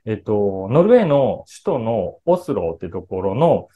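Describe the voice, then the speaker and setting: A middle-aged man chatting casually about films to an online audience, explaining things conversationally and often pausing to search for words. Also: on the low side, big pitch swings, highs cut off. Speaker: voice actor and actor talking to his fan club. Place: small indoor room with a patterned wall, video call.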